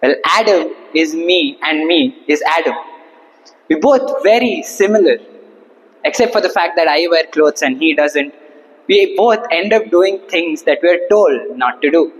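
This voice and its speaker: Young guy doing a funny club speech, pretty high voice, theatrical pauses, big hall reverb. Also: expressive. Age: young adult. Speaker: club speaker at Toastmasters. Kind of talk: humorous prepared speech to an audience. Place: indoor hall, speaking to a seated audience.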